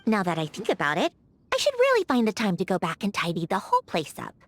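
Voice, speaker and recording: An actress voicing a haughty, prickly young genius lady automaton in a video game, huffy and easily flustered, with a secretly soft heart. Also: medium pitch, wildly swooping pitch, quick pace, soft background music. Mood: proud, composed. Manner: haughty, huffy, flustered when caught being kind. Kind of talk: scripted video game character voice acting.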